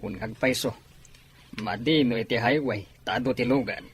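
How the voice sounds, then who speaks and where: A man's voice: mid-range voice, moderate pace. A man playing a bossy, impatient, well-off businessman father with a brusque manner, in a radio drama. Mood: businesslike. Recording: clearly noisy, vehicle ambience behind.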